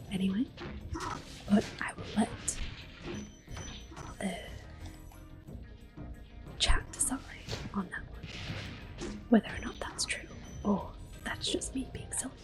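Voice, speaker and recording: A woman hosts ASMR gameplay in a soft whisper with a mellow tone. She talks gently and takes her time, with game music playing behind her. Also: medium pitch. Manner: gentle, unhurried, soft-spoken.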